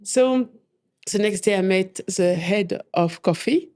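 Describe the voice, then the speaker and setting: A woman talks casually as an interview guest about her work. Her voice is warm and mellow at an ordinary pitch. She laughs often and pauses with "uh" and small restarts. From France. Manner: chatty, laughs often, many fillers and restarts. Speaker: coffee trainer guest.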